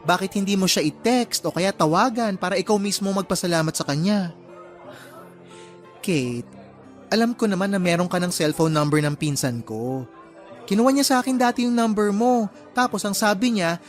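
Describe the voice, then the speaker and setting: A man playing a chatty, teasing young friend in a radio drama, expressive and gossipy. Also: high voice, moderate pace. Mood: teasing, coaxing. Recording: music behind the voice.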